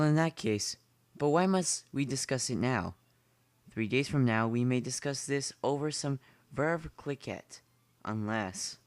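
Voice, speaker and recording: A man's voice: medium pitch, no echo. A man playing an urbane, smug aristocratic general in an amateur drama, speaking in a formal, genteel manner. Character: urbane aristocratic male general who hunts people for sport. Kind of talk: amateur short story dramatization.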